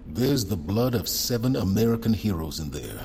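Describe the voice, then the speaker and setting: Low-voiced, stern federal agent. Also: male voice, animated, normal speed, creaky, mellow, husky, heavily acted. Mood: grave, stern. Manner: commanding, blunt. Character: stern, condescending male senior federal agent, grave and intense. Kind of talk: cinematic audio drama acting.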